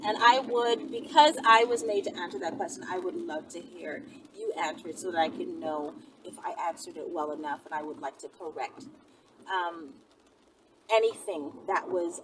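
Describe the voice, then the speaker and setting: A woman hosting an online video, talking casually and off the cuff in an earnest, rambling, conversational way. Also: medium pitch. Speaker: online video host answering viewer questions. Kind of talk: casual unscripted opinion talk to camera. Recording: distant mic, clearly noisy background.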